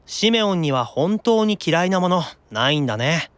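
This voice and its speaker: A man's voice: fairly high pitch, normal speed, clear, resonant tone, dry recording. An actor playing a whiny, easily panicked young man in an anime-style audio drama. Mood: exasperated, teasing. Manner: animated, stammering exclamations when unnerved.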